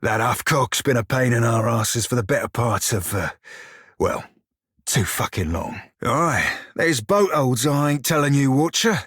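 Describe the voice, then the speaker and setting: Roguish shiphunter, game character, rough husky voice, salty slang, cocky, dry studio sound. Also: male voice, mid-range voice, animated, a little slow, a bit bright, no room sound. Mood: wry, conversational. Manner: salty archaic sailor slang, cocky and teasing. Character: swaggering, foul-mouthed blue-furred male pirate shiphunter, roguish. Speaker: video game companion character. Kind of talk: video game character dialogue and barks.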